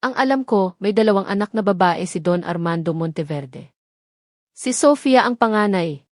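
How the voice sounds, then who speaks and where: A woman narrator voicing an older, knowing society matriarch in an audio drama, measured and composed, with a Filipino accent. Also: mid-range voice, lively intonation, dry recording, clean studio sound, lightly acted. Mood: thoughtful.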